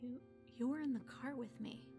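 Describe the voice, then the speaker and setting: A shaken, defiant young woman in a hospital drama, played by an actress, with a fairly high voice. She both speaks and narrates in the first person, with clear music underneath. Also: normal speed, natural voice. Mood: weak, frightened.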